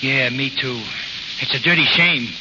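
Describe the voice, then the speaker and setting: An American actor playing an excitable, dim henchman in a 1930s radio drama, talking in slang. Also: medium pitch, a little slow, close to an everyday voice. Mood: glum. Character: excitable, dim, hungry male gang henchman. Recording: muffled, noisy, echoing old radio recording.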